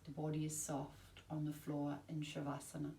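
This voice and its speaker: A woman teaching yoga nidra, giving calm, soothing, measured guided-relaxation instructions with long pauses. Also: low voice, creaky. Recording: roomy, distant mic, faint background noise.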